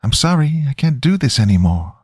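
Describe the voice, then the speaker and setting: A male narrator puts on a demon imitating a sad little girl in a horror tale. The voice is pitched far up, soft and plaintive, in a dry, close recording. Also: big pitch swings, brisk, slightly rough, no echo. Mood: fake remorse.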